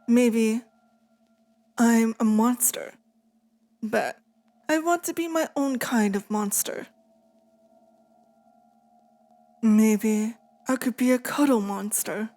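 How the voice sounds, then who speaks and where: A lonely, possessive female demon played by a woman murmurs in an intimate ASMR roleplay. Her voice sits fairly high and moves very slowly, tender and hesitant, with a pleading, wistful temper. Faint music plays behind her. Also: dark tone. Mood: tired, musing.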